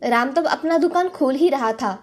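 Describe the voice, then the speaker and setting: A woman's voice: sits high, normal speed. A woman reading a scripted children's story as its storyteller.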